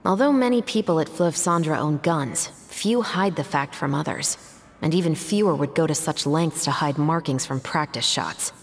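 A woman voicing a composed, serious young police investigator in a video game, speaking in a measured, articulate, matter-of-fact way. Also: sits low, a little hiss or hum. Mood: focused, analytical. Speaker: game character voice actor. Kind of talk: scripted video game dialogue.

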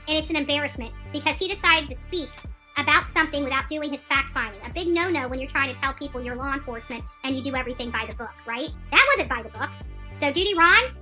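A woman in her forties scolds and challenges as she addresses her livestream audience. Her voice is high and very bright, forceful and quick, with music playing behind her. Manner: confrontational, scolding. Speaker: livestream channel host. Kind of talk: indignant livestream rant to viewers. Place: small room indoors, seated on a couch.

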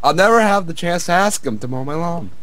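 A man's voice: a little high, animated, brisk, a bit bright, no echo. A young man making a casual prank phone call, talking in a crude, taunting way. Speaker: prank caller.

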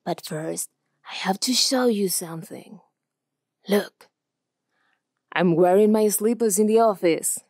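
A woman's voice: mid-range voice, slow pace, long pauses, darkish tone, no room sound, clean studio sound. A woman with an Argentine Spanish accent playing a chatty, scatterbrained doctor in a video game, speaking confidingly.

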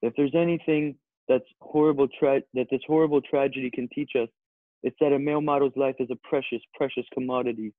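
A man playing a dim, vain, earnest male model in a comedy table read, speaking solemnly and haltingly. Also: medium pitch, steady tone, no room sound, natural voice. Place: indoors at home on a video call. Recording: narrow, tinny phone-like call audio.

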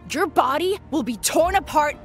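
A woman's voice: sits high, normal speed, faint music behind. A woman voicing a fierce captive fairy woman in an anime parody dub, menacing and cutting. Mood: cold threat.

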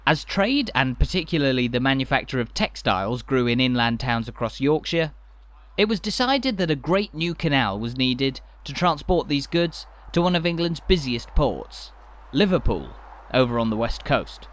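A man narrating a walking tour in a measured, enthusiastic guide's manner, from a scripted voice-over. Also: mid-range voice, very animated, fairly bright tone, no room sound.

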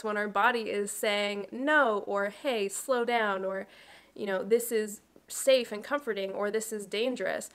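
A woman in her thirties, slightly nasal from allergies, hosting her own channel and explaining health topics to camera from her living room in a chatty, explanatory way. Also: medium pitch. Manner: warm, explanatory, with chatty asides. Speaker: wellness coach and channel host. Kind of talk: educational talk to camera.

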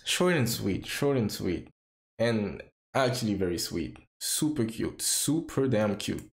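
A man gives casual reaction commentary in a lively, creaky voice. He repeats phrases for emphasis. The room echoes a little and game trailer music plays behind him. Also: fairly low pitch, a little slow. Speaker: reaction video creator. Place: small indoor home room. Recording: roomy sound with music behind.

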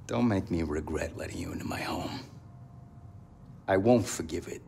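A man's voice: low-pitched, normal speed, creaky, a little mellow, slight background noise. A man playing a stern, accusing settlement leader full of distrust in a video game. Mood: bitter, warning. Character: bitter, distrustful male leader of a refugee settlement. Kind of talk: dramatic game dialogue.

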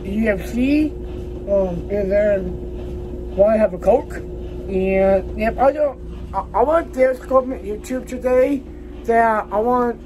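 Young guy rambling to his phone at home, slow and halting, repeats himself, some noise behind him. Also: high-pitched, a little mellow, low-quality recording. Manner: halting, repeats words and short phrases. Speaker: vlogger. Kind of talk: casual rambling vlog chat. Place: small laundry room beside a kitchen at home, indoors. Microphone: phone at arm's length.

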